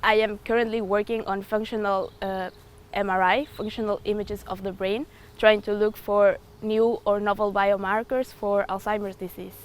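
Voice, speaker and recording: Woman, 20s; thoughtful interview answers with fillers, average pitch, slight background noise. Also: little pitch movement, moderate pace. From Ecuador. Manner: thoughtful, hesitant with frequent fillers. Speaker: student.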